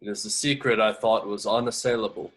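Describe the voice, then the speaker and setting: A man playing a wounded, grieving alien superhero with a grave, halting delivery in a screenplay table read. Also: on the low side, steady tone, fairly bright tone. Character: wounded, grieving Martian hero, deep and solemn.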